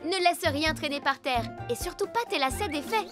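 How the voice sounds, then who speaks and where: Cartoon safety teacher, kindly talking rescue car; very high, instructive, over loud music. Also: female voice, animated, normal speed. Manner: clear, instructive, addressing children. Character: caring female rescue vehicle, gentle safety teacher. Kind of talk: children's cartoon safety lesson. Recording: loud children's music behind.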